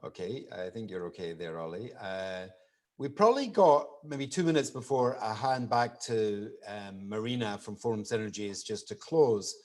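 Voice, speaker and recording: A man's voice: fairly low pitch, moderate pace, a little mellow. A man moderating an online event in an informal hosting style. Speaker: webinar moderator. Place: studio for online streaming.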